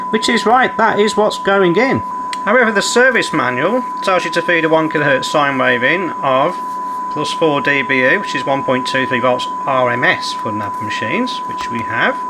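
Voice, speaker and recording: Guy doing repair commentary, kinda high voice that swings all over, thinking out loud, noisy hum behind him. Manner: muttering step-by-step, thinking aloud. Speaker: repair channel host.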